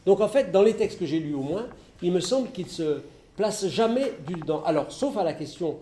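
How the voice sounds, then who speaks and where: A man's voice: high voice, lively intonation, moderate pace, dark tone, a little hiss or hum. A middle-aged man giving a philosophy lecture and answering audience questions, thinking aloud with many restarts and fillers. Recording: echoey hall recording.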